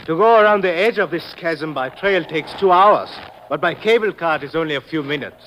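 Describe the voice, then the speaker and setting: An actor playing a menacing ex-soldier Alpine killer with a German accent, stiff and precise, in 1950s radio drama style. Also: male voice, a little high, brisk, dull audio, lightly acted. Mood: matter-of-fact. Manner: stiff, precise, formal diction. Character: menacing Alpine cable car operator, ex-soldier turned hired killer. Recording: noisy old radio recording with vehicle ambience.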